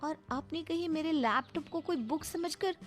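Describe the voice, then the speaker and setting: A woman's voice: sits high, moderate pace, faint music behind, voice pushed up, close to an everyday voice. A woman voicing a haughty, impatient modern young daughter-in-law, bossy and dismissive, in a cartoon story. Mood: scornful. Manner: bossy, dismissive, mixes in English. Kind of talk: cartoon voice acting.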